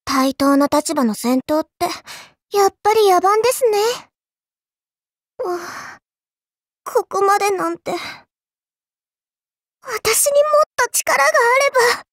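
A woman's voice: very high voice, lively intonation, slow, pauses often, dry recording, studio quality, full character voice. A woman voicing a dainty, childlike little girl in a mobile game, polite and prim in speech with a teasing, cruel streak. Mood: haughty, disdainful. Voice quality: childlike, cutesy. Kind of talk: Japanese mobile game character voice lines.

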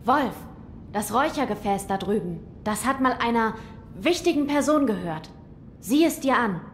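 A woman's voice: lively intonation, close to an everyday voice. Earnest young noble boy in a reverberant hall, played by a woman. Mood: solemn. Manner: measured, grave, formal. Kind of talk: audio drama acting. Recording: long hall reverb, faint rumble.

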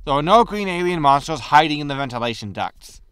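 A man's voice: a little high, animated, a little fast, fairly bright tone, clean tone, dry recording, clean studio sound. A man playing a greedy, breezy, disgraced space haulage captain in a sci-fi comedy audio drama, flippant and full of excuses. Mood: dismissive. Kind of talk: science fiction comedy audio drama acting.

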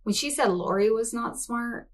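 A woman's voice: a little high, normal speed. A woman in her forties giving casual, sarcastic reaction commentary, blunt and sweary, with a mocking edge. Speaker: host of a reaction commentary show. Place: small home office or craft room.

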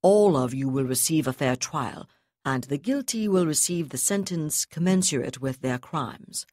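A woman voicing a cold, contemptuous male navy commodore who speaks with calm, clipped precision. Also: deep voice, normal speed, no room sound, clean studio sound. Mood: icy.

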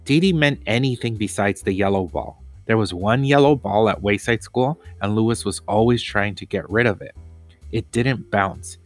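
A man with a fairly low voice narrates a children's book. He reads deliberately and expressively, pausing between phrases. The sound is close and dry, with faint music underneath. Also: no room sound. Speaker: storyteller reading aloud.

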